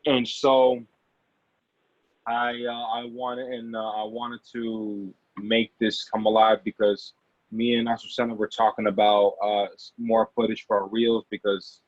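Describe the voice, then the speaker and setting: A man speaking as an actor being interviewed, casual and halting, often repeating and rephrasing himself. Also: on the low side, level tone, no room sound. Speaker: actor and amateur producer, interview guest. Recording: dull, lo-fi audio.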